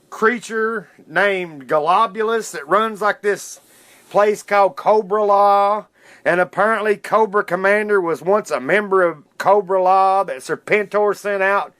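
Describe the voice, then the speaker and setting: A man's voice: high-pitched, a bit bright. A man hosting a solo podcast, talking casually in an informal, loosely worded enthusiast's way. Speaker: podcast host. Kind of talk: casual solo podcast talk, retelling cartoon history.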